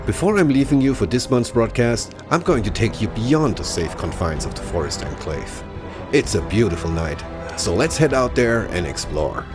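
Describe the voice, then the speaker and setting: Male game presenter, lowish and expressive, scripted explanatory read, music underneath. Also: normal speed. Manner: polished, explanatory. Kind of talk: scripted promotional narration.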